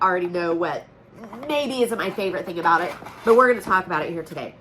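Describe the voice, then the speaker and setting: A woman's voice: mid-range voice, a bit bright, a little hiss or hum, rough recording. A chatty woman hosts a home unboxing vlog, sounding congested from allergies and talking in an upbeat, folksy way. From Oklahoma, USA. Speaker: direct-sales consultant and channel host. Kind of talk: casual product unboxing vlog. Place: home room with a noisy air conditioner.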